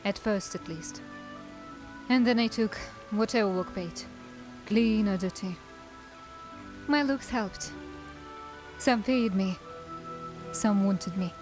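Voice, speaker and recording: A woman playing a wry, guarded dark elf assassin in a cinematic fantasy drama, with a musing, teasing manner. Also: mid-range voice, slow pace, pauses often, music in the background, natural voice, rough recording. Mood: guarded, confessional.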